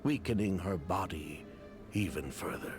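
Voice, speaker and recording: Casting: bitter condemned male schemer, game drama, deliberate pacing, dramatic swings in intonation. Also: deep voice, expressive, over music. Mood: grave, explanatory. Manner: deliberate, emotive. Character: bitter, defiant male swindler facing prison. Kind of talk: dramatic video game voice acting.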